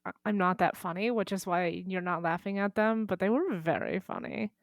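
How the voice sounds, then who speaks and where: Woman podcast co-host. Casual joking chat, wry, quick to giggle, dry recording. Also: mid-range voice, dry recording.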